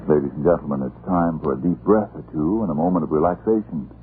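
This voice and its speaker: Deep-voiced American 1940s radio announcer man. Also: quick pace. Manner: formal, polished sales delivery. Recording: muffled, noisy old radio broadcast.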